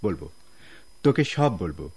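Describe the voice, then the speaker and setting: A man's voice: low voice, very animated, moderate pace, a little mellow, husky, heavily acted. A man with a Bangladeshi accent playing a joking, mock-humble field officer in a radio drama, playfully theatrical. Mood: wry, confiding. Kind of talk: Bengali radio drama acting.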